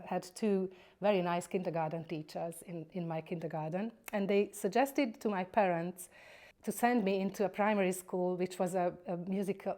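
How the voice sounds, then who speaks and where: A woman in her forties from Hungary with a Hungarian accent, a singing teacher introducing herself in a measured, slightly hesitant way. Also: lowish voice, dark tone. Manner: measured, plain and a little hesitant. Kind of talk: personal introduction.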